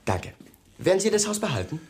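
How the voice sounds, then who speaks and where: An actor playing a police lieutenant who questions in a polite, insinuating, deliberate way, in a German radio crime drama. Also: male voice, high-pitched, big pitch swings, gravelly, a little hiss or hum, full character voice. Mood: probing.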